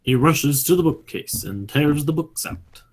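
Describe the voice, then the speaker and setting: A man with a husky voice reading stage directions as narrator of a radio play. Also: fairly high pitch, expressive, a little slow.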